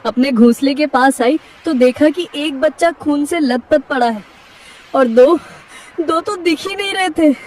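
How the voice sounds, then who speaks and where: A caring male parrot, voiced by a woman, who tells and warns in an urgent, emotional way. The voice is high and sounds distant, with a faint hiss in this animated bird story. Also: a little slow. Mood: urgent, grieving. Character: kindly male parrot, worried neighbor.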